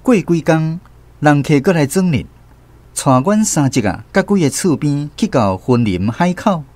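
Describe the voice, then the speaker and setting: A man's voice: fairly high pitch, a little mellow, no room sound. An actor with a Taiwanese accent narrating a radio drama as a man fondly recalling his rural boyhood. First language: Taiwanese Hokkien. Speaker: narrator telling his childhood story.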